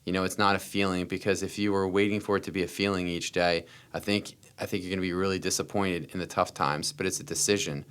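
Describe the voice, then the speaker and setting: A man's voice: deep voice, steady tone, quick pace, hardly any pauses, fairly bright tone, clear, resonant tone. An American man telling personal stories as a podcast interview guest, earnest and repeating phrases for emphasis. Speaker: interview guest, startup founder.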